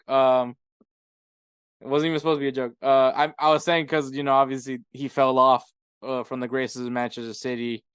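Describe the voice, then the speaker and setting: A man's voice: medium pitch, fairly bright tone, clear, resonant tone, no room sound. A man co-hosting a sports podcast in casual soccer chat, with an informal, jokey way of talking.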